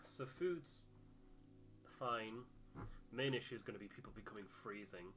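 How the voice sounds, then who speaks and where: A man's voice: on the low side, normal speed, fairly bright tone, soft background music. A man hosting a game playthrough with casual commentary into a close microphone. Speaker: game player and host.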